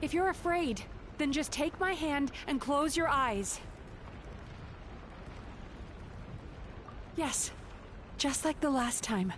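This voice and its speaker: A woman playing a gentle, composed young psychologist and dream healer in a video game, speaking sincerely. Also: high-pitched, unhurried, slight background noise. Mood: reassuring.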